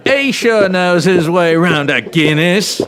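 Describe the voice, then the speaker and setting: Friendly Cockney bartender, colloquial and chummy, animated delivery, comic audio drama. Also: male voice, high-pitched, slow, over music. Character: friendly Cockney bartender, male, chatty, protective of a local lady. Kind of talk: comedy audio drama acting.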